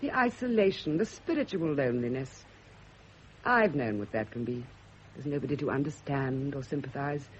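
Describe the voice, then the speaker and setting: A woman's voice: extremely low pitch, animated. An actress playing a fervent, lovesick woman who confesses hidden passion, in a theatrical classic radio drama style. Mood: earnest, probing. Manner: fervent, breathy pauses, theatrical intensity. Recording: dull old radio recording, faint hiss.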